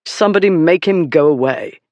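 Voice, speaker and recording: A man voicing a calm, haughty aristocratic duke with a cool, low, dryly disdainful voice in an audiobook. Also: dry recording, close to an everyday voice. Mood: dry impatience. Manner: dry, unhurried, disdainful.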